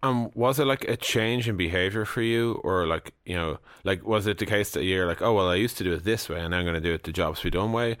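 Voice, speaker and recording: Low-voiced casual man interviewing, dry sound. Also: a little fast, hardly any pauses, fairly bright tone, dry recording. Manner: casual, heavy use of "like" and "you know". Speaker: interviewer, podcast host. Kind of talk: conversational podcast interview.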